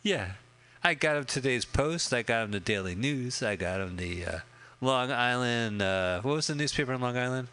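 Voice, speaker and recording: A man's voice: medium pitch, animated, moderate pace, sharp tone, clean tone. A man co-hosting a podcast, chatting casually and jokingly about a film as it plays, swearing often and rambling. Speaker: podcast co-host. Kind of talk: casual movie commentary chat.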